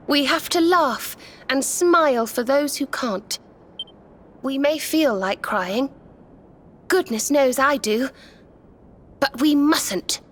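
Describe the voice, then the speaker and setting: Gentle, high-voiced, slow-spoken young heroine. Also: female voice, a little hiss or hum. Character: gentle, earnest young woman, grieving yet hopeful. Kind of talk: video game cutscene acting.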